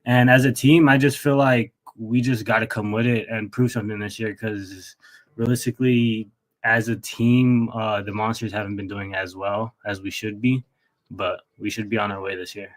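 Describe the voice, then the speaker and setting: A man's voice: fairly low pitch, steady tone, moderate pace. Polite young man in a sports interview. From Texas, United States. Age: young adult. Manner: polite, often says yes sir, earnest. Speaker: college baseball player, interview guest.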